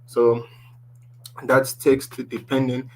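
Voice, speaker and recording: Man explaining tech with a deep voice. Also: monotone, takes it slowly, a little mellow, slight background noise, low-quality recording. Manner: explaining slides, restarts often, ends points with "basically". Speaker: presenter. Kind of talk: online tech lesson.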